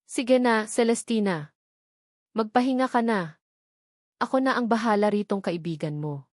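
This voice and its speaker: A smooth, falsely friendly rich man who talks breezily and chats on, as a woman reads him out. He hides a controlling edge under cheer. The voice is dry and close. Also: a little high, normal speed, no echo, close to an everyday voice. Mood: breezy. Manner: breezy, chatty, overly friendly.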